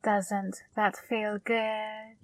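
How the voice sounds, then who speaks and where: A woman playing a flirty, controlling female demon in an ASMR roleplay, speaking slowly, softly and commandingly into a close mic. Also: mid-range voice, flat delivery, no room sound. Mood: seductive. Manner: slow, soft, commanding.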